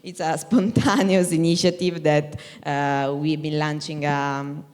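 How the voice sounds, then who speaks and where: A woman's voice: deep voice, fairly even intonation, a little slow, darkish tone. A woman speaking on a conference panel, explaining a project at length with frequent fillers. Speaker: panelist, operations manager. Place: conference stage. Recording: hall reverb.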